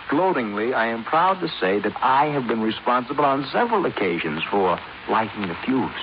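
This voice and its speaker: A man's voice: mid-range voice, sing-song, moderate pace. An actor playing a spoiled, sardonic son in his twenties, glib and mocking, in 1950s radio drama style. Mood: gleefully mocking. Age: 20s. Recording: noisy muffled 1950s radio recording.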